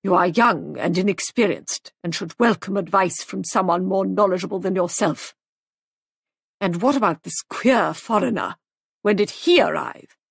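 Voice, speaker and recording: A woman narrator puts on a haughty older lady in a storybook. She sounds husky, imperious and suspicious, a snobbish busybody who disapproves of everything. The sound is dry and close. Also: mid-range voice, expressive, normal speed, dry recording. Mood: haughty disapproval.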